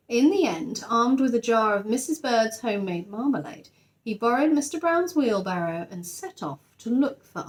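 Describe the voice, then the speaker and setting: A woman's voice: on the high side, rough recording. A woman narrating a children's story expressively, like a teacher reading aloud to her class.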